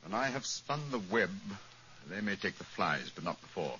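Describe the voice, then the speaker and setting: An actor playing a keen, commanding British detective in 1940s radio style, speaking in a crisp, deliberate, authoritative manner. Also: male voice, deep voice, big pitch swings, sharp tone, full character voice. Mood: analytical, brisk. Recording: dull, noisy old radio recording.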